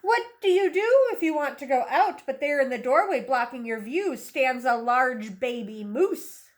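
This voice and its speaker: A woman teacher reading a picture book aloud to young children in an animated, instructive way, asking questions as she goes. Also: high voice. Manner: instructive, questioning, slow deliberate phrasing.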